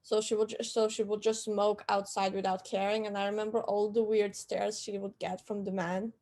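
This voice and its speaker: A woman's voice: mid-range voice, level tone, moderate pace, darkish tone. A woman who grew up in Turkey, chatting casually on a podcast and talking in a rambling, hesitant way with frequent fillers.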